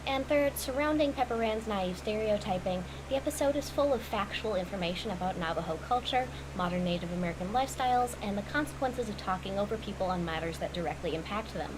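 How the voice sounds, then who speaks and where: A woman's voice: medium pitch, fast, few pauses. A woman delivering scripted critical commentary as a video essayist, articulate, analytical and opinionated. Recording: clearly noisy audio with hum.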